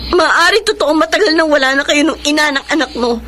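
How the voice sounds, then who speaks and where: A woman's voice: high voice, fairly quick, bright, forceful tone, slight background noise. An actress playing a heartbroken young woman confronting her unfaithful boyfriend, tearful and pleading, in a radio drama. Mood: hurt, accusing. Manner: tearful, pleading, breaking into sobs. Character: heartbroken young woman betrayed by a cheating lover. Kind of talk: 1990s-style Filipino radio drama acting. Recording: radio drama with music underneath.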